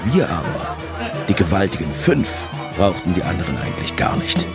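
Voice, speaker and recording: A middle-aged radio drama narrator looking back on his youth. His voice is low and his delivery measured and literary, wistful with a sardonic edge of dread. Music plays under the studio recording. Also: big pitch swings, over a noisy crowd.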